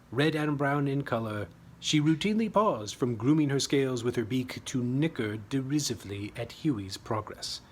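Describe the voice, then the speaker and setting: A man's voice: mid-range voice, a little hiss or hum. A man reading his own short story as a scripted narration, with a wry, tongue-in-cheek manner.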